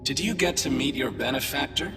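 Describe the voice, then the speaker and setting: An actor playing a calm, menacing male schemer in a video game, smug and insinuating, his voice echoing over music. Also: medium pitch, fairly quick, close to an everyday voice. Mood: sly, menacing.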